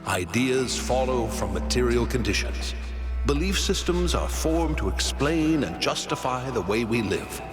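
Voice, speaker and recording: A man playing a thoughtful, philosophical mentor in a video game, explaining patiently in a measured, didactic manner. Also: medium pitch, very animated. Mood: reflective, didactic. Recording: music behind the voice. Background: game music.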